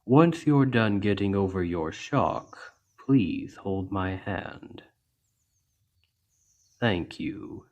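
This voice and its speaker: Huge, kindly male orc healer played by a man for ASMR roleplay: low-pitched, unhurried, patient and soothing. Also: lively intonation. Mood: calm, caring. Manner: slow, gentle, reassuring, deliberate. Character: towering seven-foot male orc healer, gentle and patient. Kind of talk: ASMR roleplay spoken to the listener.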